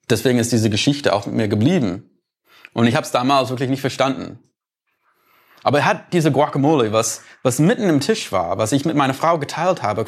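A man with an American accent gives a thoughtful talk in a mid-range voice, neither high nor low. He takes his time and explains his ideas through small everyday anecdotes. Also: creaky. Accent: American accent in German. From United States. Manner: reflective, unhurried, illustrates points with everyday stories. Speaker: speaker giving a talk. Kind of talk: lecture-style talk with personal anecdotes.

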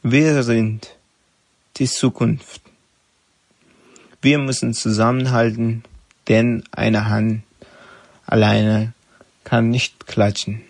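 A young man from Afghanistan, giving a halting personal statement in simple phrasing. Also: mid-range voice, flat delivery, darkish tone, dry recording. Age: young adult.

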